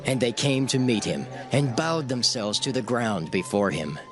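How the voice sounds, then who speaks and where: A man's voice: medium pitch, expressive, normal speed, music in the background. A man narrating dramatized scripture, telling the archaic text as formal storytelling.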